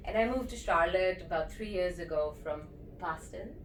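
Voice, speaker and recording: Low-pitched woman from India, eager teacher guest, heard from a distance in an echoey room. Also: normal speed, slight background noise. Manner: enthusiastic, explanatory, often checks in with "okay?". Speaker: breathwork and yoga teacher. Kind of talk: interview guest.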